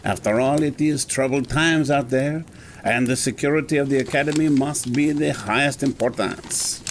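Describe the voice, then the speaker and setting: An actor plays an imperious man who runs a learned academy in an audio drama. He is formal, haughty and stern, with a mid-pitched voice. There is some noise behind him. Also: moderate pace, lightly acted. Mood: pompous greeting. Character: pompous, evasive, imperious scholar in charge of an academy.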